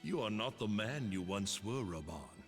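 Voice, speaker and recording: An actor playing a bitter, treacherous soldier who taunts his old friend coldly and deliberately. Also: lowish voice, lively intonation, long reverb tail, soft background music. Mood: taunting. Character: bitter treacherous middle-aged male soldier.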